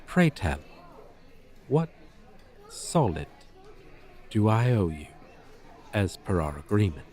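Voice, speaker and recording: A man's voice: lowish voice, sing-song, slow, long pauses, darkish tone. A man voicing a proud, centuries-old dragon teacher with stilted, archaic, formal diction in an ASMR roleplay. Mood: gently amused.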